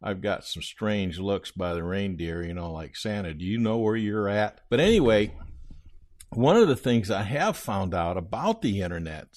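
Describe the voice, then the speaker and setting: Santa Claus , played by a male actor: older, kindly, easygoing, low voice, unhurried folksy rambler for a children's podcast. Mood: gentle, cautionary. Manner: rambling, hesitant, folksy storytelling. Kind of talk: improvised in-character answers on a children's radio-style podcast.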